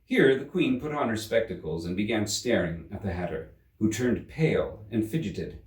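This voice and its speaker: A man reading a children's story aloud as an expressive storyteller. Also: on the low side, moderate pace. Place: indoor room. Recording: roomy, slightly distant microphone.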